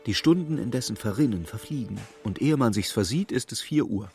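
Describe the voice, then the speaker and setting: An actor playing a sober storyteller, narrating a literary radio play in a husky, measured, dramatic way. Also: male voice, medium pitch, expressive, darkish tone, faint music behind, close to an everyday voice. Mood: wry, measured. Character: sober male storyteller following a troubled clerk.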